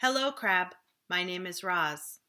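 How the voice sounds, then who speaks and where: A woman's voice: lowish voice, lively intonation, slow, pushed and bright, exaggerated voice. A woman voicing a polite, friendly female robot from a children's storybook, with simple formal greetings.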